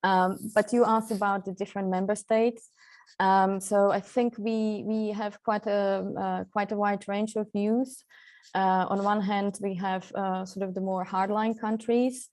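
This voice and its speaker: A woman's voice: medium pitch, little pitch movement, a little slow, a little mellow. A woman from Central Europe speaking as a guest expert on a webinar panel over a video call, with many fillers and restarts.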